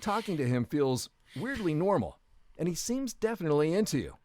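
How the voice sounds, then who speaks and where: A man's voice: a little high, sing-song, brisk, darkish tone, dry recording. A man narrating a story video in the second person, with a wry, jokey delivery.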